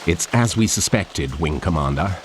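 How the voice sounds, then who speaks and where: An actor playing a haughty, brusque British colonel in a video game, with a British accent and an authoritative manner. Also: male voice, sits low, expressive, moderate pace, background noise. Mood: grave. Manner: authoritative, indignant at outsiders. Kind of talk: video game cutscene acting.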